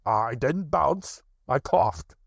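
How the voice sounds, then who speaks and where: A man voicing a bouncy, excitable male tiger with a lively, cheerful delivery. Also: mid-range voice, extremely slow, vocal fry, husky, no room sound, voice pushed up, exaggerated voice. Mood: defensive.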